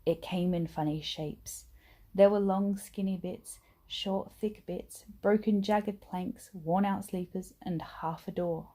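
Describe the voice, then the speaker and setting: Woman reading a kids bedtime story, soft mellow tone, lowish voice, expressive. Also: takes it slowly. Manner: animated, acts out dialogue and sound words. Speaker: storybook reader.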